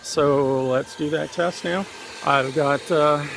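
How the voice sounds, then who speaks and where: A man's voice: fairly high pitch, steady tone, takes it slowly, creaky, fairly bright tone, slight background noise. A man presenting a casual hands-on tech demonstration, explaining technical steps plainly and informally to his viewers. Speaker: hobbyist presenter of a radio and satellite tech vlog.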